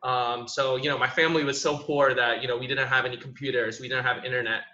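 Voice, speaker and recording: A young man from Indonesia talks quickly in a bright, forward voice, with a steady, flat pitch. He tells his story casually and keeps filling gaps with "you know" and "um". The sound is roomy and a little dull, like a video call picked up in an echoey room. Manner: relaxed and conversational, many fillers like "you know" and "um". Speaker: panel speaker, tech professional. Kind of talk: online panel, personal story.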